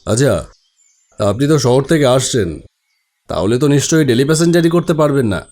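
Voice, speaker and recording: A man playing a courteous male village headmaster in an animated story, reassuring and explaining things helpfully. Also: medium pitch, fairly quick, natural voice. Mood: courteous, obliging.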